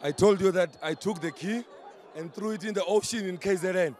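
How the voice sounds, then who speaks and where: A hoarse footballer giving a proud post-match interview pitchside outdoors, mixing English and Zulu. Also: male voice, brisk, darkish tone, a faint crowd. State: hoarse, lost voice. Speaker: footballer, defender.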